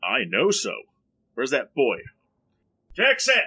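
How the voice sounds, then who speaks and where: A man's voice: mid-range voice, wildly swooping pitch, takes it slowly, sharp tone, a little hiss or hum, heavily acted. A man playing a stern, obsessed, irritable chemist in a retro radio drama, curt and commanding. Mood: gruff, impatient. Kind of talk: retro 1940s-style radio drama acting.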